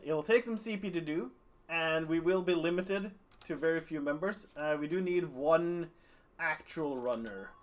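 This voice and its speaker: A man talking through a strategy game on a live stream, his voice sitting a little on the high side. He rambles loosely, thinks aloud, and makes light of himself between plans. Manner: rambling, relaxed, self-deprecating. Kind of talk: casual game stream commentary.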